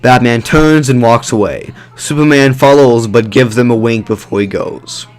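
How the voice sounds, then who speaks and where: A man's voice: mid-range voice, normal speed, darkish tone. A man reading scripted narration for an audio drama.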